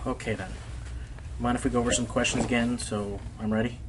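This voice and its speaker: A man's voice: mid-range voice, steady tone, normal speed, slight background noise, close to an everyday voice, rough recording. A man voicing a nervous, self-doubting young pony broadcaster in an amateur radio play, rambling anxiously. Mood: worried, tired. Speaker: voice actor in an audio drama. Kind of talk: fan radio play acting.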